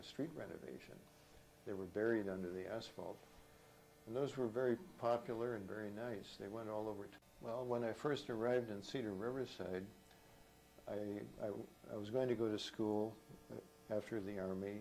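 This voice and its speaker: A man in his seventies from Minnesota, recalling his life in an unhurried, reflective way for an oral history. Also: fairly low pitch, creaky, a little mellow, slight background noise, low-quality recording. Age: 70s. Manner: unhurried, reflective storytelling with small restarts.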